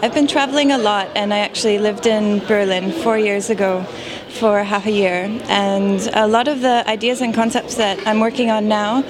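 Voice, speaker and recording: A woman fashion designer answering interview questions thoughtfully, pausing often on fillers, at a trade fair. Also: medium pitch, steady tone. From Canada. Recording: crowd chatter behind.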